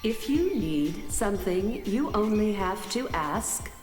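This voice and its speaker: A woman's voice: mid-range voice, big pitch swings, slow. A woman voicing a serene, self-assured starship-planet with gracious, slightly boastful manner in a children's audio drama. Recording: big echoing reverb over music.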